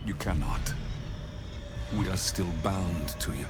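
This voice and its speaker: A noble, worn-out old father from a fantasy game, speaks with solemn tenderness. His voice is fairly low and very slow, earnest and gentle. Loud music plays behind him. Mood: solemn resolve. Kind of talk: video game dramatic acting. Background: loud dramatic game music.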